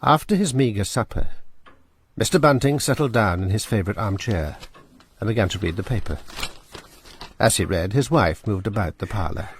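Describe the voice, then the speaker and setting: Grave, lively-toned male narrator. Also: lowish voice, a little hiss or hum. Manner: measured, grave storytelling. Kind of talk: scripted radio drama narration.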